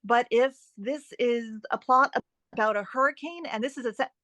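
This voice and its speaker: A woman's voice: fairly high pitch, normal speed, a bit bright, no echo. A woman speaking as an expert guest, explaining advice conversationally with emphasis and rhetorical questions. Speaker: guest editing expert and author.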